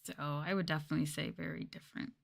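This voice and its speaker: A young adult woman chatting casually and softly as an ASMR streamer, candid and mildly sweary. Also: low voice, level tone, fast talker, vocal fry. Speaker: VTuber streamer. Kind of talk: soft-spoken ASMR casual chat.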